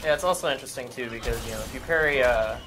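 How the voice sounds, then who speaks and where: A man's voice: mid-range voice, rapid-fire, music in the background. A man giving informal, unscripted game commentary, explaining things in a conversational way.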